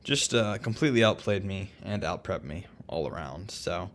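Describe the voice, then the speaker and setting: A man casually commentating a game recap, relaxed and full of ums and restarts. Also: deep voice, normal speed, slight background noise. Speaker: co-host of a league recap.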